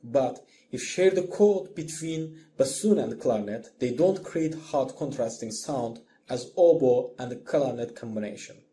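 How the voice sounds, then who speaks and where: A man giving a scripted lecture as a voice-over, in a measured, explanatory manner. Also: medium pitch, lively intonation, dark tone, slight background noise. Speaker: music lecturer.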